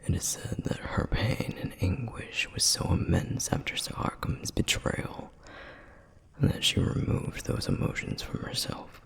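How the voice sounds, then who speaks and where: A man voicing a protective, duty-bound male flame demon in an ASMR roleplay, telling lore in a soft, breathy whisper. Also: lowish voice, heavily acted.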